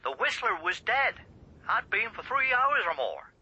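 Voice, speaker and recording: A man's voice: high-pitched, sing-song, measured pace, sharp tone, breathy edge, full character voice. Clipped man on a harsh phone line. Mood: urgent, insinuating. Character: gruff, probing local man passing on grim news by telephone. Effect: telephone filter. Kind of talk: radio drama acting. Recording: thin band-limited telephone line.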